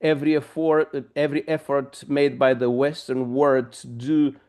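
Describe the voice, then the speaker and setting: A middle-aged pastor with a Romanian accent, asking a long, halting question at a discussion with many pauses and fillers. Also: male voice, mid-range voice, level tone, a little mellow, smooth.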